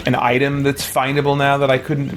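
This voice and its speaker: A man's voice: medium pitch, completely flat delivery, brisk, heavy background noise. A man doing casual livestream gaming commentary, thinking aloud as he plays. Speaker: livestreaming gamer and host. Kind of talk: casual let's play commentary. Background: game music.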